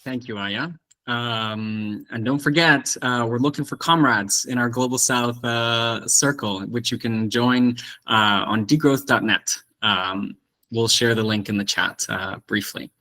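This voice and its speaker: A man from Mexico City speaks as the host of an online discussion over a video call. His voice sits at a middle pitch. He welcomes and introduces in an effusive, enthusiastic way. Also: measured pace, pushed and bright.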